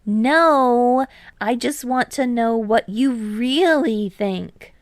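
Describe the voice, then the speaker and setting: A woman voicing an eager, teasing teenage boy athlete in an audiobook-style story reading, energetic and playful. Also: fairly high pitch, extremely slow, dry recording, clean studio sound, pitched above the performer's own voice. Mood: insistent. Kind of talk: audiobook character voice in fan fiction reading.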